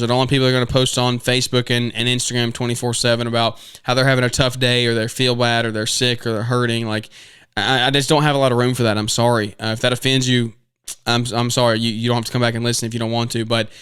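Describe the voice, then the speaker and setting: A man's voice: mid-range voice, level tone, fast, fairly bright tone, clear, resonant tone, no echo, clean studio sound. A man in his twenties hosting a podcast, giving casual advice in an earnest, rambling way with many restarts and fillers. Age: 20s. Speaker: podcast host.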